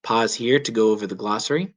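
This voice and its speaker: A man reading a children's story aloud as a teacher would, with clear, deliberate, emphatic phrasing. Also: lowish voice. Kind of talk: children's read-aloud narration.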